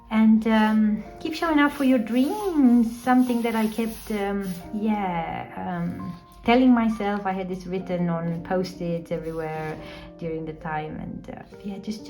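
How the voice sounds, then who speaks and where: A woman whose first language is Romanian, talking casually and reflectively through her art journal pages, with many pauses and ums. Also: fairly low pitch, creaky, darkish tone.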